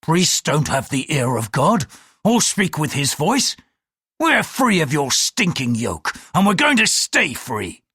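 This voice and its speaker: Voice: medium pitch, big pitch swings, slow, sharp tone, husky, no room sound, clean studio sound, pitched above the performer's own voice, heavily acted. An audiobook reader voicing a bold, taunting ship's pilot who talks bluntly and defiantly. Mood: scornful, defiant.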